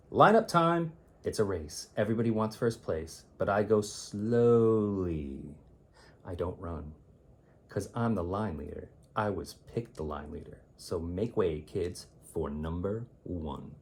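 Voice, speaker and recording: A man reading children's rhymes aloud as a teacher would, in an expressive, theatrical way with drawn-out, emphatic words. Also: low-pitched, breathy edge. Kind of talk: expressive children's read-aloud of rhyming poems.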